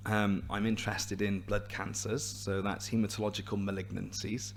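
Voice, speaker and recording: A man with a hoarse, raspy voice giving a scientific seminar to a live and online audience, explaining clearly with a few restarts. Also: on the low side, a little hiss or hum. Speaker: invited seminar speaker, hematologist.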